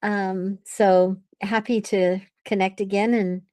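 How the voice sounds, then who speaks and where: A woman's voice: medium pitch, flat delivery, slow, a little mellow, dry recording. A woman who works as an event producer, chatting casually in an effusive, friendly way. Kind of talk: casual chat.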